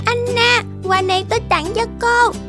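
An excitable five-year-old cartoon girl, sweet and eager. Her voice is extremely high, with music playing clearly behind it. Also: normal speed. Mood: excited. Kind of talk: animated dubbed drama.

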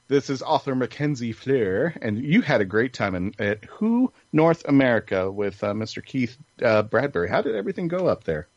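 A man's voice: mid-range voice, sing-song, moderate pace, few pauses, no room sound. A man hosting a casual panel podcast, chatty and rambling, with enthusiasm. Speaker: podcast host. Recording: dull lo-fi sound.

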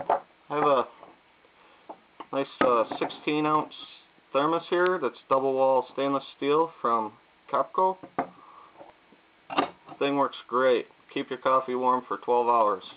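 A man casually talking through camping coffee methods at a table indoors, pausing often with "uh" and "um". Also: mid-range voice. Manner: plain, unhurried, frequent "uh" and "um" pauses. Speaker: YouTube vlogger. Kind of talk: casual gear demonstration. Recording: tinny lo-fi audio with faint hiss.

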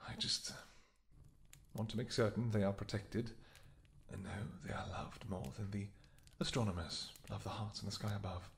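A man's voice: fairly low pitch, fairly even intonation, normal speed, vocal fry, a little hiss or hum. A man playing a devoted royal vampire king in an audio roleplay, tender with his wife and commanding with his soldiers.

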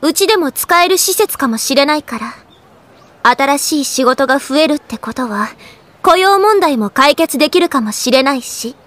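Very high-pitched anime girl. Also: expressive, moderate pace, a bit bright. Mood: brisk. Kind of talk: anime audio drama acting.

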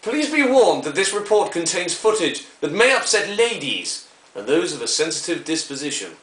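A man's voice: fairly high pitch, sing-song, moderate pace, a bit bright. Echoey, pompous old-time broadcaster played by a man. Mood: pompous, grave. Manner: pompous, grandiose, formal. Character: pompous, disdainful old-fashioned BBC current affairs presenter. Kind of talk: mock vintage public broadcast announcing. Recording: echoey, distant from the mic.

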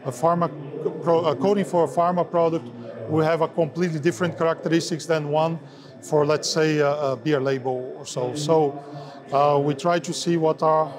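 A man in his forties speaking haltingly with many fillers, interviewed at a trade fair on a lapel mic. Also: a little high, fairly even intonation. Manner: halting, many filler sounds, slightly non-native phrasing. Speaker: sales head of an ink and coatings firm. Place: busy trade fair hall, indoors. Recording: crowd noise of a trade fair. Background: crowd chatter.

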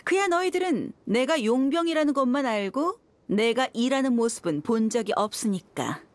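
A woman's voice: a little high, lively intonation, normal speed, close to an everyday voice. An actress playing a confident, sardonic young female mercenary in a video game, cool and taunting. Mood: smug taunting. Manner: cool, taunting, self-assured. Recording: music behind the voice.

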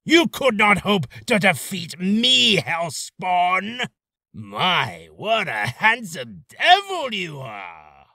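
A man's voice: high voice, huge pitch swings, extremely slow, gravelly, pushed and bright, no room sound, clean studio sound, heavily acted. A man voicing a haughty ancient demonic monster with grandiose, sinister relish for a voice reel. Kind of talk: character voice reel.

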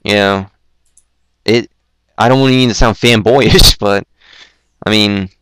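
A young man hosting a casual online show, rambling and self-deprecating, with lots of stumbling restarts and a throat that is going hoarse. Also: fairly low pitch, steady tone, a little slow, fairly bright tone. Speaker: podcast host. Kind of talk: casual chat on a Skype call.

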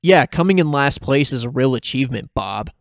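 A man's voice: medium pitch, lively intonation, brisk, gravelly, no room sound, clean studio sound, pitched above the performer's own voice. A man doing a smug, sneering cartoon rival. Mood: taunting. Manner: smirking, taunting. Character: smug, sneering middle-aged male rival.

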